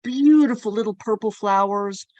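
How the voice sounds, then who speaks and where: A woman's voice: mid-range voice, moderate pace, a little mellow, no echo, lo-fi sound. A woman hosting a casual conversation, telling personal anecdotes in a chatty way. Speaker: podcast host.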